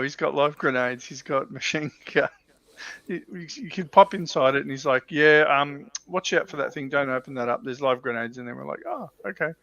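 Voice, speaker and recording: An Australian man telling stories in casual chat, with a halting delivery that often doubles back on words. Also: a little high, fairly bright tone, dry recording. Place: livestream video call. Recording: dull, lo-fi voice call audio.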